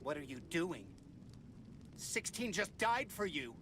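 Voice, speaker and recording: A man's voice: fairly high pitch, sing-song, takes it slowly, noisy background. A man playing a desperate, earnest fighter hero in a dramatic anime game style. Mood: angry, shocked. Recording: loud music behind the voice.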